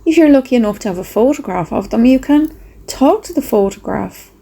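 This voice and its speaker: A woman speaking softly and reflectively with pauses, in a breathy, husky voice, as she leads a gentle guided meditation. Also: a little high, lively intonation. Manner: slow, soft, reflective, with pauses.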